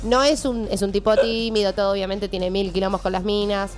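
A woman's voice: mid-range voice, fairly even intonation, brisk, soft background music. A woman with a Rioplatense Argentine accent chatting casually and in slang as a radio film columnist. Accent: Rioplatense Argentine Spanish. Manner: colloquial, slangy.

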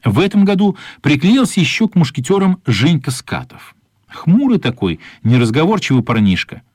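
A man narrating a children's radio play as a wry storyteller with a husky voice. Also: on the high side, big pitch swings, normal speed, a little mellow, no echo, studio quality. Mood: storytelling.

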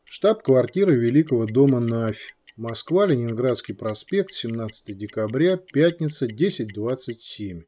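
A man narrating an audiobook with expressive storytelling. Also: medium pitch, moderate pace, hardly any pauses. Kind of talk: scripted narration.

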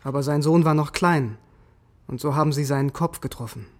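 Male actor as hardened special forces sergeant, vengeful and sardonic, fairly high male voice, radio drama. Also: brisk, close to an everyday voice. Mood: grim, matter-of-fact. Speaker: soldier narrating his story.

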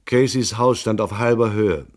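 Low-voiced, laconic hard-boiled detective played by a man. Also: moderate pace, lightly acted. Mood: sober, grim. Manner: laconic, dry, sardonic. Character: wry, skeptical male private detective telling his case. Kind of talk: radio drama narration and dialogue, hard-boiled crime.

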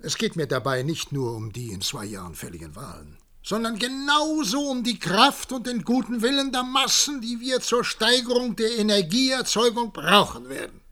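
A stern male head of government in a science-fiction radio play. His voice sits a little high. He speaks in formal, emphatic, oratorical sentences with firm resolve. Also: bright, forceful tone, exaggerated voice.

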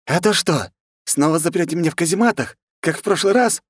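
Zealous monk, played by a male narrator, raised pitch, accusing, agitated, fantasy audiobook. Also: fairly quick, dark tone, dry recording, studio quality, close to an everyday voice. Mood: indignant. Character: zealous, indignant monk obsessed with corruption.

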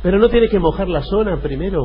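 A man's voice: high voice, expressive, fast talker. A man with an Argentine Rioplatense accent co-hosting a radio comedy, explaining and correcting earnestly and a bit hesitantly in improvised dialogue. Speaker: radio comedy show co-host. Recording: dull, noisy radio recording.

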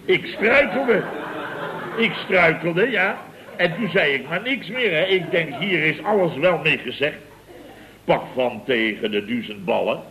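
An actor playing a blustering, self-important building contractor boss in a vintage radio comedy, with a pompous, rambling way of telling things. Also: male voice, a little high, expressive, measured pace, a crowd in the background. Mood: animated storytelling. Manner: pompous, rambling, chuckling raconteur with exclamations. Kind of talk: 1960s-70s Dutch radio comedy acting. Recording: dull vintage radio recording.

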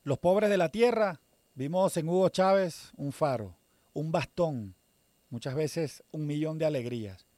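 A man reads scripted narration for a radio program at a solemn, measured pace. His voice is mellow and dark, and it sits a little high. The sound is dry and close. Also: no room sound.

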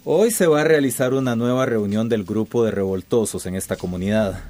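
An actor playing an imperious, scornful company boss in a radio drama. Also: male voice, lowish voice, brisk, a little mellow, natural voice. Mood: threatening. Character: domineering company boss, contemptuous and threatening.

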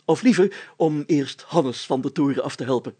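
A man's voice: fairly high pitch, normal speed, mellow, breathy edge. A man voicing a proud, bossy village mayor in a story, with a pompous, commanding way of talking.

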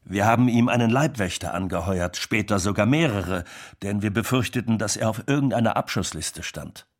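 An actor playing a star's concerned associate in a radio drama, explaining earnestly. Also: male voice, on the low side, normal speed, close to an everyday voice.

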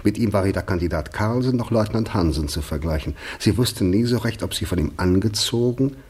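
Deep, husky male narrator. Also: expressive, fairly quick, a little mellow. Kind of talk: scripted literary narration in a radio drama.

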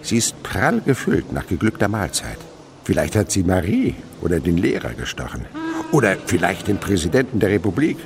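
Gravelly, animated older insect researcher. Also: male voice, low-pitched, normal speed, background noise, exaggerated voice. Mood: absorbed, explaining. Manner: scholarly, lyrical, patiently instructive. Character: ageing French insect researcher, uncle and mentor, dreamily enthusiastic. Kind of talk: German radio play acting.

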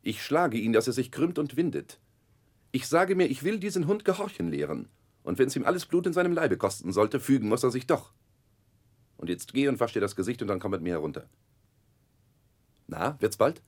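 A man's voice: medium pitch, very animated, normal speed, long pauses, a little mellow. Stern, domineering stepfather, menacing swings. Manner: cold, menacing, commanding. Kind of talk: German radio drama acting, 1960s.

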